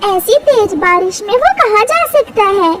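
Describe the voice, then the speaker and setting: A woman's voice: very high-pitched, expressive, unhurried, a crowd in the background. A woman voicing a worried mother crow in a cartoon, anxious and complaining.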